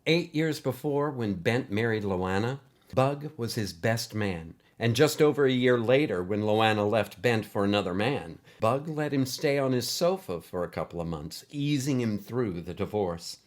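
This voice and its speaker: Guy storyteller, lowish voice, reading a written story, expressive and deliberate. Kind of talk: scripted story narration.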